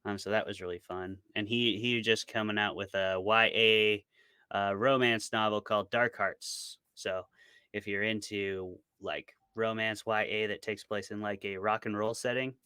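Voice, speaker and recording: Bright, clear-voiced man chatting casually. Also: on the low side, fairly even intonation, a little slow, dry recording, studio quality. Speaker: podcast co-host and author being interviewed. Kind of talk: casual interview chat.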